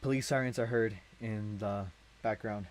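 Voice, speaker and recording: A man's voice: fairly low pitch, fairly even intonation, moderate pace. Young adult male narrator, casual script read-along, informal and self-interrupting.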